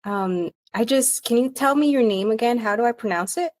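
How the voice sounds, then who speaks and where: A woman's voice: on the high side, fairly quick, darkish tone. A woman hosting a coaching podcast, upbeat and enthusiastic as she introduces the show and chats with her guest.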